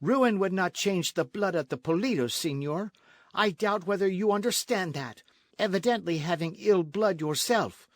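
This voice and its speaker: A man's voice: sits high, big pitch swings, measured pace, a little mellow, dry recording, voice pushed up, full character voice. A man voicing a proud, outraged young Spanish colonial noblewoman in a storybook, speaking formally and emphatically. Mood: furious, defiant. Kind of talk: storybook narration with character voices.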